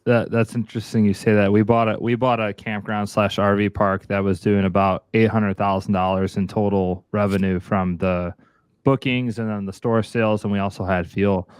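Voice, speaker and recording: A man's voice: fairly low pitch, flat delivery, moderate pace, clean tone, no echo. A man hosting a podcast, talking conversationally as he shares experience and puts questions to a guest. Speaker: podcast host, investor. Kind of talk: conversational interviewing.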